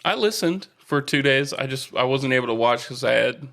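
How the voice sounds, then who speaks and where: Male podcast co-host, mid-pitched, casual and opinionated sports talk. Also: animated, normal speed, creaky, fairly bright tone. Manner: informal, opinionated, swears casually.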